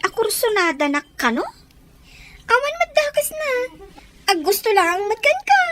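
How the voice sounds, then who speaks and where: A woman's voice: very high-pitched, fairly bright tone, full character voice. A woman playing an outspoken, gossipy young woman in an audio drama, chatty and questioning.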